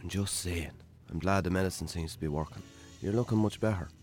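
A man's voice: sits low, brisk, a little mellow, soft background music, natural voice. A man playing a put-upon, hungover Irish farmer in a radio drama, weary, dry and sardonic. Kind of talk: naturalistic radio drama acting.

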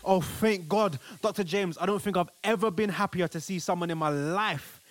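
A man's voice: high-pitched, normal speed, noisy background, lightly acted. An actor playing an anxious, devoted young husband and new father in an audio play. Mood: anxious, relieved. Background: music.

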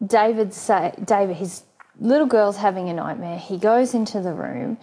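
A woman's voice: medium pitch, a little slow, mellow, breathy edge, low-quality recording. A woman co-host who listens closely and breaks in to sum up the question. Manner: attentive, gives constant short agreements.

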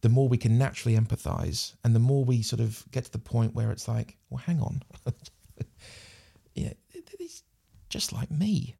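Gravelly, mellow-voiced New Zealand man. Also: medium pitch, expressive, moderate pace. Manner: thoughtful, repeats words and restarts often. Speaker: podcast guest. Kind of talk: casual interview chat.

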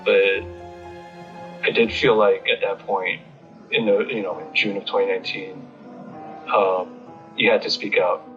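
An American man giving a reflective interview, halting as he searches for words. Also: fairly low pitch, little pitch movement. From United States. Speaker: interviewee, independent bookstore owner. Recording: slightly muffled, music behind.

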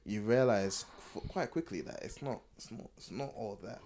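A man's voice: lowish voice, moderate pace, a little mellow, slight background noise. A young man chatting casually and candidly as a podcast co-host, repeating phrases as he explains. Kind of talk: casual, candid group chat.

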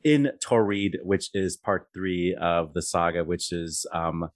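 Deep-voiced male host, rambling questions. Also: slow pace, no echo, clean studio sound. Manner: chatty, rambling questions with many fillers. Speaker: podcast host. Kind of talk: conversational interview.

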